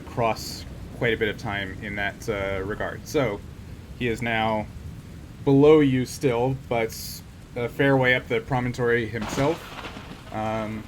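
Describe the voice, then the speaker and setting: Man game master over background noise. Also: mid-range voice. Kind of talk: casual tabletop game narration.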